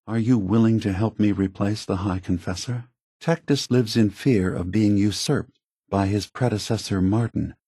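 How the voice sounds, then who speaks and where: An actor playing an ancient synthetic android leader in a video game, calm, sorrowful and earnest, with measured, deliberate delivery. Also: male voice, fairly low pitch, breathy edge, no room sound, studio quality. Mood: measured persuading. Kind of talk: scripted video game dialogue.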